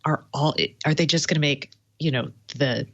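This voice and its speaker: A woman talks as an expert guest on a tech show, explaining casually and informally. Her voice is on the low side. The recording is a little dull and lo-fi. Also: normal speed, a bit bright. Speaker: tech journalist and podcaster, guest. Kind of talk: casual expert interview talk.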